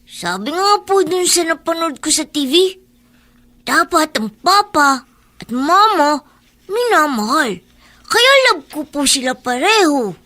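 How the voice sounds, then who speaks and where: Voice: sits high, big pitch swings, a little slow, sharp tone, full character voice. An actor voicing a sweet, polite, innocent young boy in a Filipino radio drama. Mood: earnest, innocent. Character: sweet, forgiving young boy who loves his parents.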